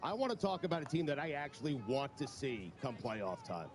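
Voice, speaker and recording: A man's voice: medium pitch, very animated, fairly quick. A man on a sports talk show making a bold claim with mock seriousness. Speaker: show regular. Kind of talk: studio talk show chat.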